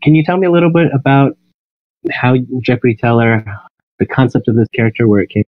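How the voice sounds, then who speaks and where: A man's voice: mid-range voice, expressive, a little fast, no echo. A man hosting a podcast, asking interview questions in a casual, conversational way. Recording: muffled, phone-like narrowband line.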